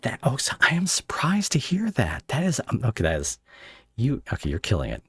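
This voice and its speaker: A man hosting a casual live interview, speaking in a halting, rambling way as he searches for phrasing. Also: mid-range voice, wildly swooping pitch, fairly bright tone, slightly rough, no echo, studio quality. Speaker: podcast host interviewing a guest.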